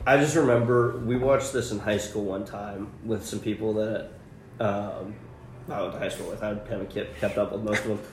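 Easygoing young man, lowish voice. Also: steady tone, normal speed. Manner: joking, easygoing, laughs often. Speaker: podcast co-host. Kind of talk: casual chat. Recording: echoey room with faint hiss.

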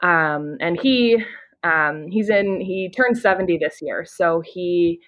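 A woman's voice: on the low side, takes it slowly, a bit bright, dull audio. A Canadian woman in her thirties, a podcast guest, who talks eagerly and casually with many "you know"s. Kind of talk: casual conversation.

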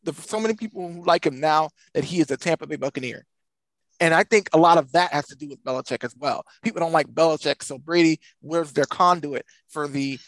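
A man's voice: fairly high pitch, fairly bright tone, no echo, poor audio. A man co-hosting a sports podcast, talking in a wry, joking way. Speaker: podcast co-host. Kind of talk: casual sports chat.

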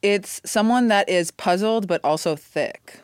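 A woman's voice: medium pitch, unhurried, clear, resonant tone, no room sound, clean studio sound. A woman co-hosting a podcast in casual chat, giggly and playful. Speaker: podcast co-host.

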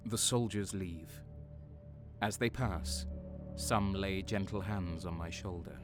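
A man plays a cold, sadistic soldier telling his own tale in an audio drama. His voice is fairly low and he takes his time, deliberate and intense, over a music score. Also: steady tone. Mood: cold, brooding. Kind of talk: dramatic first-person audio drama narration.

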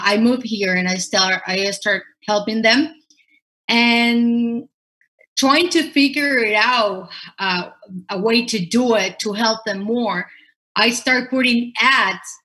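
Slow-talking woman with a Spanish accent. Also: medium pitch, pushed and bright, poor audio. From Costa Rica. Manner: halting, many fillers and restarts. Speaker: podcast guest, sourcing expert. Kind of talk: casual interview answers.

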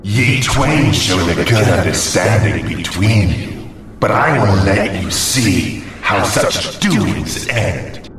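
A cruel, jealous demon booms out archaic threats in an audio drama. The delivery is very slow and swings widely in pitch, drenched in cavernous echo. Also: male voice, lively intonation. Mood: bitter, menacing. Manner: slow, booming, theatrical threats. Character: vengeful, menacing male Ifrit demon, jealous and cruel. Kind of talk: audio drama acting of archaic storybook prose. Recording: cavernous echo, distant, music behind.

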